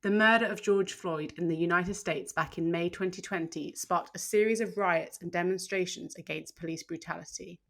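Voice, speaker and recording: A woman co-hosting a podcast, chatting with her co-host and reading a measured introduction. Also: on the low side, fairly even intonation, gravelly, fairly bright tone.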